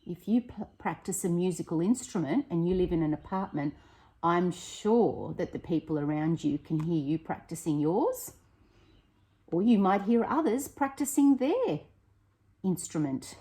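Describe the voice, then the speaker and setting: A woman, a primary teacher reading a picture book aloud to young children, expressive and encouraging, with chatty questions to her listeners. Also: fairly low pitch, unhurried, breathy edge.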